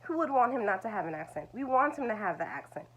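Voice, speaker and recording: A woman's voice: a little high, big pitch swings, fast, fairly bright tone, breathy edge, rough recording. Reassuring woman teaching. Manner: reassuring, repeats "it's okay". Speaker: language teacher. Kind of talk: talking-head video lesson.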